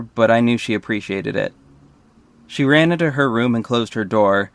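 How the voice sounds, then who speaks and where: A man's voice: mid-range voice, dry recording. A man playing a wry, self-aware superhero who narrates his own story in a scripted audio drama.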